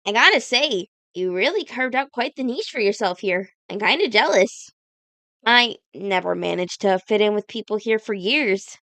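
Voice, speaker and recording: A woman's voice: medium pitch, lively intonation, normal speed, pushed and bright, no echo. Woman acting an earnest, guilt-ridden teen girl. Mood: friendly, wistful. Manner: sincere, defensive, dramatic. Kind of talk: comic dub acting.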